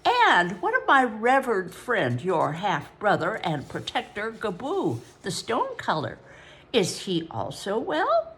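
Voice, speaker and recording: A woman's voice: mid-range voice, takes it slowly, exaggerated voice. A woman voicing a servile, grinning male porter with a Babylonian accent and a reedy, sibilant voice. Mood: wheedling. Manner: oily, obsequious flattery.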